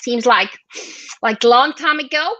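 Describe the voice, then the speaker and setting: A woman's voice: on the high side, measured pace, bright, forceful tone, no room sound. Woman in her 40s from colombia, lots of uh and restarts, dull dry sound like a call. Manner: hesitant, many fillers and restarts. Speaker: guest, marketing leader. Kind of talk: podcast interview.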